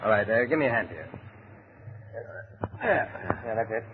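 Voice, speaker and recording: Measured doctor-sleuth on tinny old radio. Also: male voice, fairly low pitch, very animated. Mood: composed, analytical. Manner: measured, deliberate, deductive. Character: calm, shrewd, educated male doctor turned amateur sleuth. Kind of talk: 1940s radio detective acting. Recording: noisy, tinny vintage radio recording.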